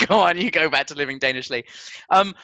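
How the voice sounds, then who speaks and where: A man's voice: high voice, sing-song, normal speed, pushed and bright, dry recording. A man hosting a football podcast with casual, blokey, sweary banter and frequent chuckles. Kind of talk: casual banter and match chat.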